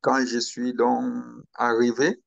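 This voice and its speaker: A young man from Cameroon, speaking slowly and hesitantly as an interview guest about his own story. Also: mid-range voice, little pitch movement, creaky, dry recording, highs cut off. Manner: slow, hesitant, with pauses and restarts. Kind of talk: interview, personal account.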